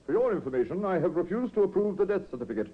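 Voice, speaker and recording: A man's voice: high-pitched, big pitch swings, rapid-fire, slightly rough, full character voice. An actor, a man, playing a stern, principled doctor who speaks formally and precisely in a 1950s radio drama. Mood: firm, offended. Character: stiff, principled, unyielding male doctor. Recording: thin, tinny old radio recording.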